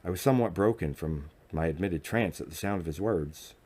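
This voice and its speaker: A low-voiced man reads scripted fiction aloud. He narrates in the first person as an audiobook reader. His delivery is measured and dramatic. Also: a little mellow, slightly rough.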